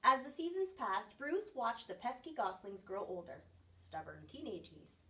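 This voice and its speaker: A woman reading a children's picture book aloud in an expressive storytelling style. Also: on the high side, normal speed, far from the mic. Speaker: story reader.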